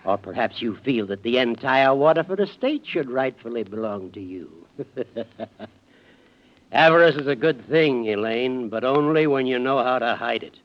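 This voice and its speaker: A man's voice: mid-range voice, expressive, moderate pace, natural voice. A male actor plays a cutting, commanding old patriarch in a 1940s radio drama. His delivery swings widely and drips dry sarcasm. The recording is dull and muffled with age. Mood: sardonic. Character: stern, sardonic wealthy old father, tyrannical and cutting.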